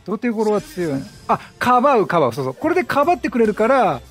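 A man doing chatty game commentary, animated, talking to himself and to the characters on screen. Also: high voice, fast talker, faint music behind. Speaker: gameplay commentator.

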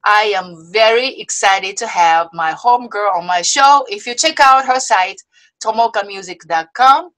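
A woman from Osaka with a Japanese accent, hosting a video-call interview show in a bubbly, excitable way, laughing often. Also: mid-range voice, normal speed, low-quality recording. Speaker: host. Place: indoors before a movie-themed backdrop. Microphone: webcam video call.

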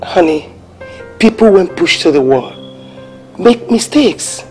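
A Nigerian woman acting a calm, reasoning wife in a domestic film drama, measured and soothing. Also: on the low side, soft background music. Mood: reassuring.

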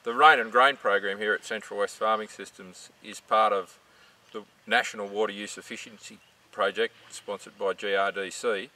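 A man's voice: fairly low pitch, steady tone, moderate pace, sharp tone. A middle-aged man with an Australian accent, giving an informative explanation to camera as a farm researcher. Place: outdoors in open dry farmland. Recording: distant mic, outdoor noise.

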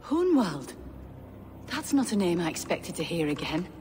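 A woman's voice: fairly low pitch, very animated, unhurried, a little mellow, slight background noise. A woman playing a careworn medieval healer sister in a video game, earnest and careful in her speech. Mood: frightened, wary. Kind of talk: video game cutscene acting. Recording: reverberant hall sound under music.

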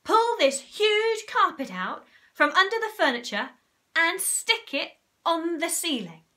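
A woman voicing a frantic, bossy male monkey father who shouts orders. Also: a little high, animated, normal speed. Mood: commanding.

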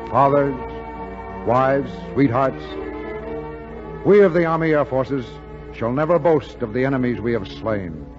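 Solemn mid-pitched man giving wartime oratory. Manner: solemn, rhetorical. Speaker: Army Air Forces spokesman narrator. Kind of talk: scripted 1940s wartime radio oratory. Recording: dull 1940s radio broadcast. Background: music.

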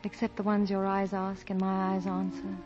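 A woman's voice: medium pitch, monotone, normal speed, over music, lightly acted. An actress playing a wistful, romantic woman in love in a 1940s radio drama. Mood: adoring. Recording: muffled vintage radio broadcast.

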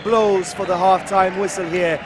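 A man giving excitable live play-by-play commentary on a football match. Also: high voice, unhurried. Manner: excitable, rising to shouts at chances. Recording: loud crowd noise behind commentary. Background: stadium crowd roar.

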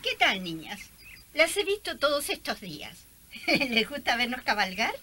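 A young missionary nun in a radio play. Her voice is high and swings with feeling. She is gentle, cheerful and affectionate, over clear background noise. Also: unhurried, exaggerated voice. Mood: cheerful, devout. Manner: affectionate, giggly, expressive. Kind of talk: radio drama acting.

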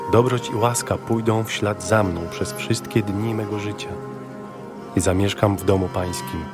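Low-voiced man reciting a psalm. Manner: measured, reverent. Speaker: scripture reader. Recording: music bed under voice.

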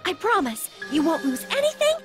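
A high, very lively teenage girl, teasing and sure of herself in theatrical anime drama style. Music plays behind her as she bosses and coaxes. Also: fairly quick. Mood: insistent. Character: teasing, bossy, self-assured middle school girl. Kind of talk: anime audio drama acting.

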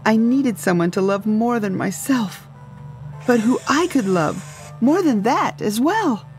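A woman's voice: on the high side, lively intonation, measured pace, soft background music. A woman playing a secretive, breezy ex-wife in a dystopian audio drama. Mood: frank, wistful.

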